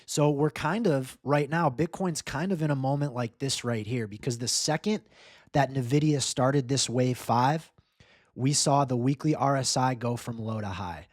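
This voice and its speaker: A man with a medium-pitched voice gives casual commentary as the host of a market channel. He is informal and upbeat, addressing viewers directly. The sound is dry and close, with very little room in it. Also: a little slow, no room sound. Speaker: host, crypto market analyst. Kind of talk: casual chart commentary to camera or screen share.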